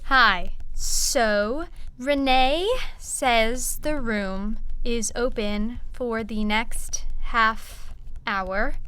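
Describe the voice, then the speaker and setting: A friend dictating voice messages. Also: female voice, a little high, drawn-out words, sharp tone, clear, resonant tone, exaggerated voice, poor audio. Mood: deliberate. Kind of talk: audio drama acting.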